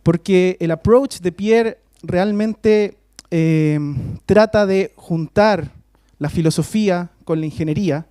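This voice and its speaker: A man's voice: high-pitched, slow pace, warm tone, clear, resonant tone, dry recording, studio quality. Hesitant man introducing a guest. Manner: hesitant, many filler sounds, switches between Spanish and English. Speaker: faculty host introducing a guest speaker. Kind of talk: formal talk introduction. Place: university lecture hall.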